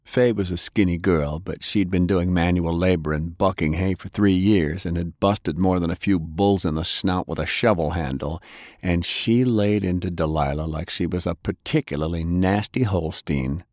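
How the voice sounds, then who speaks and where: A man's voice: deep voice, moderate pace, darkish tone, no room sound, clean studio sound. A man reading an action story aloud as a scripted audiobook narrator.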